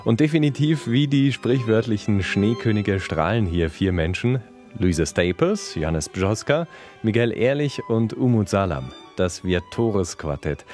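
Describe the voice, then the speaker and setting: Lower-voiced radio host guy, chatty questions, faint music underneath. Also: a little mellow. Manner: friendly, conversational questions. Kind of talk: radio interview.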